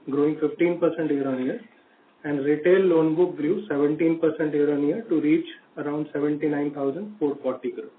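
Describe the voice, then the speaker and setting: Indian man on a tinny phone line. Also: fairly high pitch. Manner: formal, figure-heavy reporting. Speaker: company finance executive presenting results. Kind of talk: scripted earnings call presentation. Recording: tinny phone line with faint background noise.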